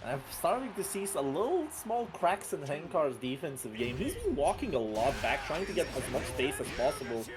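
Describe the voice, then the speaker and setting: A man doing play-by-play commentary on a fighting game, excitable and bursting loud at big plays. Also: fairly high pitch, moderate pace, hardly any pauses, over a noisy crowd. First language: not French. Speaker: esports commentator.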